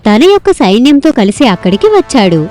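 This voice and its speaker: A woman narrates a story from a script in a high, very animated voice. Her tone is mellow and rounded, and light music plays behind her. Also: quick pace, no room sound.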